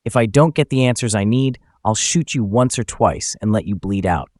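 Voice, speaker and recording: A man's voice: fairly low pitch, normal speed, a little mellow, dry recording, studio quality, lightly acted. A man voicing a betrayed husband in a narrated story, falsely polite and coolly menacing, with a smug, controlled delivery. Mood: cool menace.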